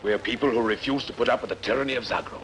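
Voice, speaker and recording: Fast-talking hearty rebel, acted by a man. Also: mid-range voice, a bit bright, natural voice. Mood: defiant. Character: hearty, loyal rebel tavern keeper. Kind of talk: dubbed costume-adventure film acting. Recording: noisy old film soundtrack.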